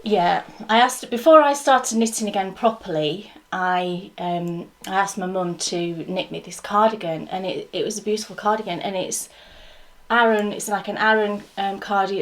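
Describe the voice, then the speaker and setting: A woman co-hosting a casual chat show at home, telling stories in a chatty, easygoing way. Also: medium pitch. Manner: chatty, storytelling, laughs easily. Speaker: co-host.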